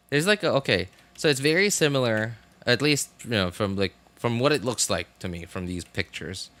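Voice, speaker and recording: A man in his thirties chatting casually on a livestream, rambling and haltingly thinking aloud. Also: mid-range voice, fairly bright tone, no echo.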